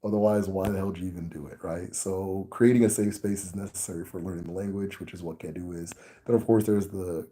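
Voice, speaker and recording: A man with an American accent, hosting a casual talk to his viewers in a relaxed, rambling way with many fillers. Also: sits low, fast talker, hardly any pauses. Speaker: host. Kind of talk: casual video chat to viewers.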